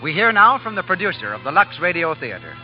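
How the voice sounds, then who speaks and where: Animated man announcing on old-time radio. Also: a little high, quick pace. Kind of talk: 1930s radio announcing and ad reading. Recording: dull 1938 radio broadcast, music behind.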